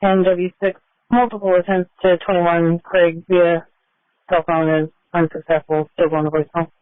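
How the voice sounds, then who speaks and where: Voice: mid-range voice, flat delivery, moderate pace, dry recording, narrow, tinny audio. A police officer on scene giving terse tactical updates over a scanner radio in a businesslike manner with clipped call signs. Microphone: two-way radio.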